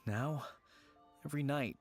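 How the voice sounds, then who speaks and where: A man voicing a troubled, wistful older man in a video game, speaking in a subdued, halting way. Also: mid-range voice, faint music behind, natural voice.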